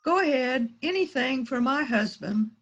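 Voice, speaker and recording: A woman's voice: sits high, animated, moderate pace, a bit bright, lo-fi sound, full character voice. A woman playing a polite, composed housewife with a secret in an amateur readers theater rehearsal, speaking in a mannered way. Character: polite, outwardly innocent housewife, secretly a murderer.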